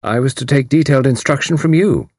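A man's voice: mid-range voice, fast talker, no echo, studio quality, pitched above the performer's own voice, close to an everyday voice. A man doing a composed woman spy. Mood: composed, firm. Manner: calm, firm, composed. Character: composed young woman spy posing as nurse.

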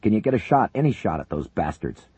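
A man voicing a hard-edged male helicopter commander who barks gruff orders. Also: sits low, animated, unhurried, dark tone, no room sound, pitched above the performer's own voice, exaggerated voice. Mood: commanding.

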